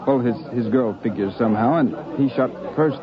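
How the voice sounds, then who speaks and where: A man's voice: medium pitch, brisk, natural voice. An actor playing a concerned, loyal policeman in a 1950s radio drama. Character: concerned, loyal policeman pleading for young colleague. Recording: muffled old radio recording, crowd behind.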